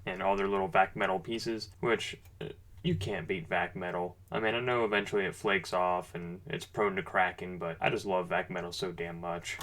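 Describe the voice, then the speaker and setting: A man's voice: low-pitched, fairly bright tone. A man giving a casual, unscripted product review as a presenter, talking in an informal, enthusiastic, conversational way.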